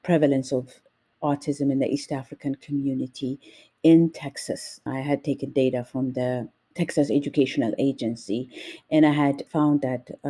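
A woman from Ethiopia, a nurse and family advocate, speaking in a measured, deliberate way as an interview guest. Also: rumbling low, mellow, breathy edge.

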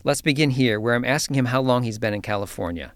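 A man hosting a science podcast, telling a story in a measured, scripted way before interviewing his guest. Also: medium pitch, no echo. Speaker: podcast host. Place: office.

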